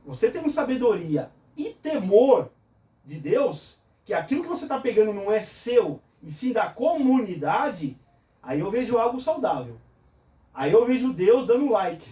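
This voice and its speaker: A man speaks earnestly in a fairly high voice, poses question after question, and answers each one himself. The room is echoey and he sits back from the mic. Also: sing-song, a little slow, dark tone. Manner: earnest, explains with rhetorical questions. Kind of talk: conversational preaching in a talk show. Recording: roomy echo, from a distance.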